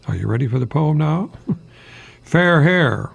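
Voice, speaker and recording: An older man hosting a radio monologue, speaking haltingly in a whimsical, self-deprecating way with a husky, gravelly voice. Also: a little high, lively intonation. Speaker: radio host, retired professor. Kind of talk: rambling humorous radio monologue with poetry readings.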